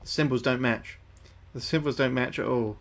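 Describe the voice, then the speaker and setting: A man's voice: medium pitch, steady tone, moderate pace, creaky. A young man livestreaming a game, thinking aloud to his chat, sweary and repeating himself. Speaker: livestreaming gamer. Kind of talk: casual gameplay commentary to chat.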